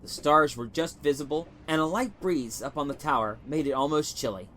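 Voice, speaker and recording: A man reading a short story as scripted narration, in a measured, dramatic storytelling manner. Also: fairly high pitch, pushed and bright, a little hiss or hum. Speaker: story reader.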